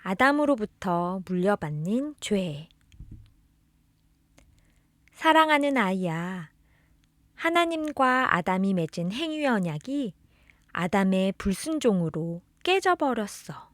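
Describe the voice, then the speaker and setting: A woman's voice: mid-range voice, very animated, takes it slowly, pauses often, no room sound, studio quality. A woman reading a scripted children's religious storybook, expressively addressing the listener as a beloved child. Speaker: storybook narrator reading children's catechism tales.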